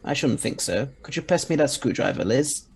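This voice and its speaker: A man's voice: mid-range voice, lively intonation, fairly quick, breathy edge. A man playing an eccentric, confident scientist time traveller in an audio drama, speaking articulately with a mildly superior air. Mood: confident, explanatory.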